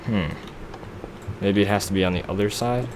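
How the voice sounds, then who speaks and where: Young man, deep voice, rambling self-deprecating gameplay commentary, noisy home mic. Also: steady tone, moderate pace. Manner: rambling, self-deprecating, talks to himself while building. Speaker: let's play gamer.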